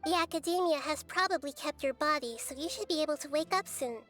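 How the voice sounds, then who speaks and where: A woman voicing a gentle young girl goddess of wisdom in a video game, speaking in a soft, measured way. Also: high-pitched, faint music behind. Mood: calm earnest. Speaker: game character.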